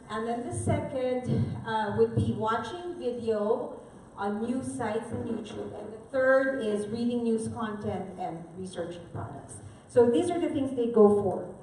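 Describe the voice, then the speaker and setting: A woman from the Philippines presents to a live audience in a relaxed, conversational way, often pausing to check in with the room. Her voice sits a little high and is picked up from well back, washed in heavy hall echo with faint ambient noise underneath. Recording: echoey hall from far off.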